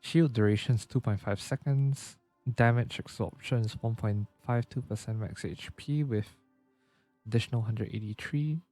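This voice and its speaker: A man's voice: medium pitch, normal speed, dark tone. A man giving casual gameplay commentary, stumbling a little as he reads game text aloud, with music behind him. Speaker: livestreamer.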